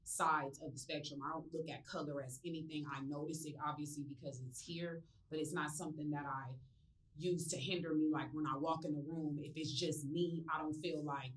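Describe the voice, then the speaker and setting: A young woman from California, a guest in a studio interview, telling her story in a relaxed, rambling way with many fillers. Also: sits low, a little fast, distant mic, low-quality recording. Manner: rambling, many ums and likes, relaxed and open. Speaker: interview guest, business owner. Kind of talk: casual interview chat.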